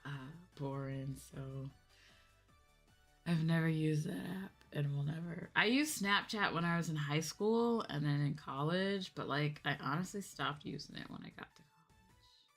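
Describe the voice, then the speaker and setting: A woman's voice: low-pitched, unhurried, faint music behind. A young woman streamer chatting casually and informally with her viewers on a livestream. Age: young adult.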